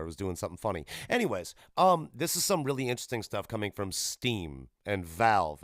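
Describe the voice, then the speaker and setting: A man's voice: deep voice, a bit bright, clear, resonant tone, no echo, clean studio sound. A man hosting a solo gaming podcast, giving casual commentary in a rambling, irreverent, profane way. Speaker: podcast host.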